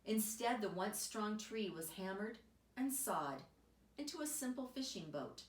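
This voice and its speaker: A woman reading a children's picture book aloud as an expressive storyteller, recorded in a roomy space away from the microphone. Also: medium pitch, measured pace. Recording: roomy echo, distant microphone.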